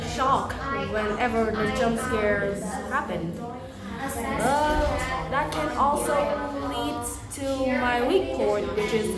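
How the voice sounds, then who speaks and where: A woman with a Vietnamese accent answers mock exam questions slowly and hesitantly, pausing and reaching for fillers as she goes. Her voice sits a little high. The recording is echoey, with loud crowd chatter behind her. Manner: hesitant, halting, many fillers like "you know". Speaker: test candidate.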